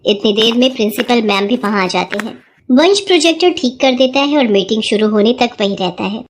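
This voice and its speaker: A woman narrating a children's moral story in an even storybook manner. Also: a little high, brisk.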